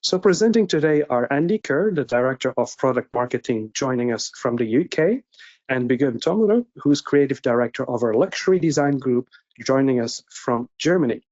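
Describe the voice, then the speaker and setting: A man's voice: medium pitch, animated, moderate pace, darkish tone. Male webinar host, slightly dull audio. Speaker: host introducing presenters. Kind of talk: webinar introduction. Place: indoors on a video call. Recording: dull, lo-fi video call audio.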